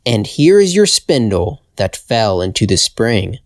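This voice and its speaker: A man voicing a kindly old magical woman in a fairytale, speaking gently and without hurry. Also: lowish voice, big pitch swings, measured pace, dry recording, clean studio sound. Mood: pleased, kind.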